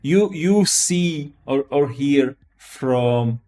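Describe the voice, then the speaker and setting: A man's voice: mid-range voice, expressive, extremely slow, mellow. A man with a Czech accent chatting casually as a live-stream host, often restarting and repeating his phrases. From Czech Republic.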